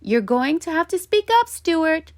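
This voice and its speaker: A woman's voice: extremely high pitch, lively intonation, a little slow, slight background noise, voice pushed up. A woman voicing a prim female schoolteacher questioning a pupil in a children's storybook. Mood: prim, insistent.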